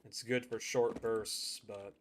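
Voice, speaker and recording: A man's voice: on the low side, flat delivery, slow pace, slight background noise. A man streaming a game, chatting casually and thinking aloud as he plays. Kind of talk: live gameplay commentary.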